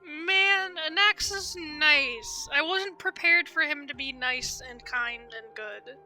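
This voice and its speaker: A woman's voice: high-pitched, takes it slowly, bright, forceful tone. A woman game streamer giving casual livestream commentary, conversational and thinking aloud. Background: faint game music.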